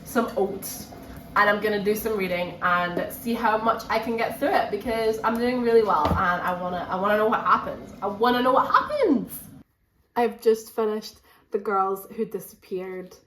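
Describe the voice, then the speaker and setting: A woman's voice: mid-range voice, normal speed, a bit bright. A woman, chatting casually to camera and repeating phrases for emphasis, a bit run down with a cold. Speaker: book vlogger. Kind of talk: casual chat to camera, reading vlog. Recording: echoey room with faint background noise.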